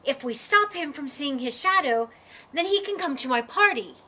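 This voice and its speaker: A woman voicing a big, eager, excitable moose in a children's storybook, booming and emphatic. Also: normal speed, a little hiss or hum.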